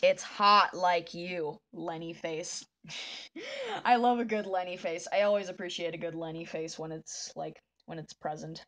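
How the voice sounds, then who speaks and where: A woman streaming live, chatting with viewers in a soft, meandering way while she draws, with a voice that is deep for a woman. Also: lively intonation, unhurried. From northern United States. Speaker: livestreamer. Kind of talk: casual chat while drawing on a livestream.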